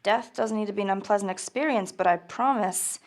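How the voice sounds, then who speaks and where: A woman's voice: medium pitch, fairly quick, lightly acted. An actress playing a sardonic, ruthless female rogue with a dry, menacing way of talking.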